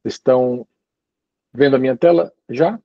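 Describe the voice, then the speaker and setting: A man giving an informal university lecture over a video call, chatty and relaxed, often ending phrases with little checking tags. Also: medium pitch, moderate pace, dry recording, dull audio. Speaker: guest lecturer.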